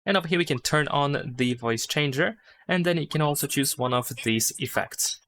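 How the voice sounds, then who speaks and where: A man's voice: on the high side, normal speed, fairly bright tone. A man giving a casual, unscripted step-by-step phone tutorial, thinking aloud with some hesitation. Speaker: tutorial host. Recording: clear background noise.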